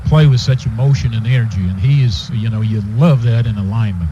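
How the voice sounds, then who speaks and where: A man giving halting, conversational football analysis as color commentator on a radio broadcast from a stadium booth. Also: mid-range voice, dull audio, noisy background. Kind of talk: unscripted sports analysis.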